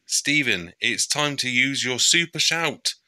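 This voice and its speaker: Man putting on a bright, bossy little girl squirrel. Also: mid-range voice, animated, slow pace, no echo, pitched above the performer's own voice, exaggerated voice. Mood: urgent, encouraging. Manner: exclamatory, commanding.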